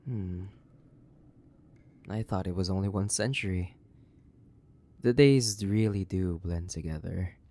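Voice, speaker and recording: A man playing a centuries-old, sadistic vampire in a theatrical horror audio roleplay, condescending and drawling, with a gravelly, breathy voice that slips into whispers. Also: deep voice, slow, long pauses, dark tone. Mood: wry, musing. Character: sadistic, centuries-old imprisoned male vampire, mocking and bored. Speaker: voice actor.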